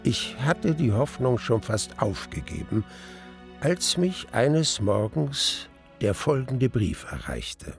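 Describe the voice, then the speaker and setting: A wistful young gentleman narrating a radio play. His voice is gravelly at the edges, measured and reflective, with music underneath. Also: medium pitch, sing-song, heavily acted.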